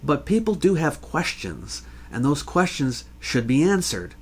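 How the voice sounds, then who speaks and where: A man hosting an educational talk, answering a question in a measured, conversational manner with rhetorical questions. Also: on the high side, very animated, breathy edge. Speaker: host, history educator.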